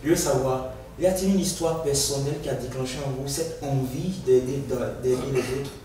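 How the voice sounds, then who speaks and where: A man's voice: mid-range voice, brisk, warm tone. A man hosting an interview, putting formal, prepared questions to his guest. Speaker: interviewer, host. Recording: echoey, distant mic, faint noise behind.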